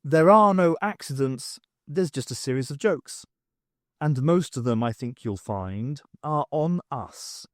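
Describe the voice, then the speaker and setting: A man's voice: mid-range voice, lively intonation, unhurried, no room sound, clean studio sound, natural voice. A man voicing a fussy, blustering artist in an audiobook, rambling on in an airy, apologetic way. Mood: wry.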